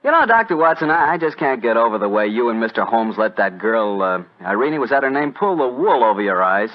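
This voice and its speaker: A man with an American accent, a chatty, folksy radio announcer and sponsor pitchman in 1940s style. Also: big pitch swings, brisk. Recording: muffled old radio broadcast.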